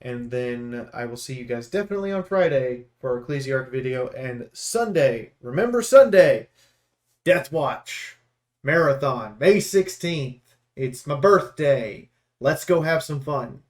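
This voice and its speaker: A man's voice: medium pitch, lively intonation, measured pace. A man giving casual, opinionated commentary on a game preview, speaking bluntly and with emphasis. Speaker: game reviewer and streamer.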